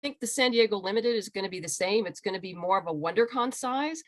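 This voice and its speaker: A woman's voice: mid-range voice, expressive, quick pace, dry recording, studio quality. A woman talking casually and emphatically as an interview guest on a video call, with an enthusiastic manner. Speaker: interview guest, comic book writer and creator. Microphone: video call microphone.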